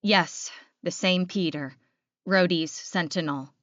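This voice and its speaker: A woman's voice: fairly low pitch, slow pace, a bit bright, no echo, lo-fi sound. A woman voicing an apologetic, pleading male werewolf in an audiobook. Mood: pressed.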